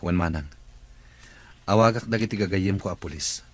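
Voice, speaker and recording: A very deep, husky-voiced master of the house with an Ilocano accent. He is kindly and protective, speaking with measured authority in a radio drama. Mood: protective, firm.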